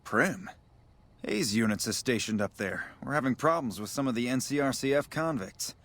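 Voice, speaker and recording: Video game army major, weary, matter-of-fact, businesslike, medium-pitched voice. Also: male voice, expressive, normal speed, fairly bright tone. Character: weary male army major logging caravans at a desert outpost. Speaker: border outpost officer in a video game. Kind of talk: video game dialogue acting.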